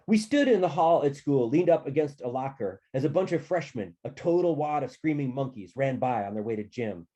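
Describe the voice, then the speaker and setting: A man reading a novel aloud as a goofy, lovestruck, optimistic teenage boy, excitable and earnest in an animated first-person telling. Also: mid-range voice, brisk, few pauses, voice pushed up, lightly acted. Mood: excited, smitten. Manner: excitable, earnest, slangy and emphatic.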